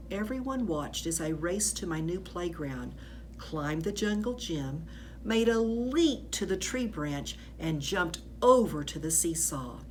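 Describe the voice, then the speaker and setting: Female storyteller for a children's read-aloud: slightly low, expressive, stretching key words, faint background noise. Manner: animated, with drawn-out emphasis on key words.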